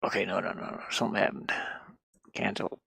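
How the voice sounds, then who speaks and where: A game developer chatting casually and haltingly while working at a computer, murmuring half to themselves. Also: low voice, fairly even intonation, gravelly, sharp tone, slightly rough, dry recording. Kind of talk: casual screen-share chat while working. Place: inside a van.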